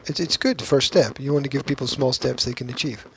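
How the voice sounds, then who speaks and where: A man's voice: mid-range voice, a little fast, a bit bright, a little hiss or hum. A man co-hosting a casual podcast, bantering in a teasing, matter-of-fact way. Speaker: podcast co-host.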